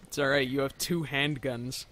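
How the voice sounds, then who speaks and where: A man's voice: mid-range voice, steady tone, moderate pace, bright, forceful tone, slight background noise. A man chatting casually and jokingly as co-commentator on a video game playthrough. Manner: playful, joking. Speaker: co-commentator on a let's play. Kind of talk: casual chat. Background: video game sounds.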